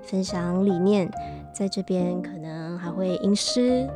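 An actress playing an earnest, wistful young tour guide in a radio drama, conversational and hesitant, with a Taiwanese Mandarin accent. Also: female voice, lowish voice, a little slow, natural voice. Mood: cheerful, informative. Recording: music behind the voice.

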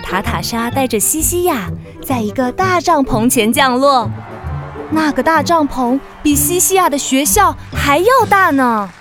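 A woman's voice: high voice, lively intonation, unhurried. A woman narrator reading a children's story as scripted narration. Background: music.

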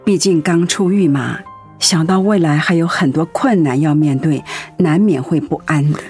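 A woman's voice: low-pitched, normal speed, darkish tone, soft background music. A woman acting a caring, polite middle-aged pastor's wife in a conversational radio drama style. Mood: warm, encouraging.

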